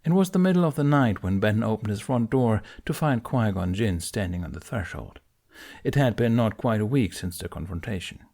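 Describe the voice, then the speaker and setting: A man narrating fan fiction in a gentle, even storytelling manner. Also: fairly low pitch, normal speed.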